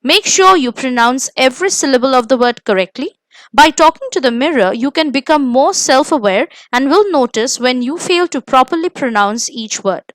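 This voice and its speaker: A woman with an Indian accent and a high voice narrates an instructional lesson. Her delivery is scripted, measured and emphatic, as a coach giving tips. The sound is dry and close, with very little room in it. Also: a bit bright, no echo. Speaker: presenter of interview coaching lesson.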